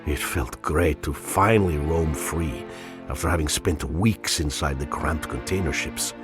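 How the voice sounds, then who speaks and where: An actor playing a reflective Soviet officer, narrating a war in a measured, sombre way. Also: male voice, rumbling low, music in the background. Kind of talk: scripted narration for a video game.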